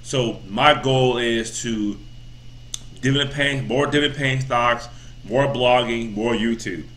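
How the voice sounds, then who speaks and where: Rambling man in his thirties, bright voice. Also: mid-range voice, takes it slowly. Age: 30s. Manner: rambling, repeats himself, many "you know" fillers. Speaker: vlogger. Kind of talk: casual motivational talk to camera.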